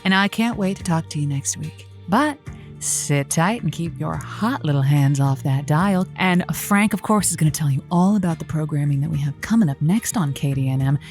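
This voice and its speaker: A woman's voice: low-pitched, animated, moderate pace, a little mellow, faint music behind. A woman playing an earnest, encouraging radio advice host in a comedic audio drama, speaking close to a studio microphone. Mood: signing off.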